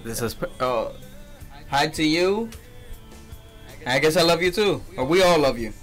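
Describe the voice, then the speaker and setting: A man's voice: a little high, animated, a little slow, fairly bright tone, soft background music. A teenage man hosting a youth radio show, chatting casually with lots of fillers and laughter. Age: teens.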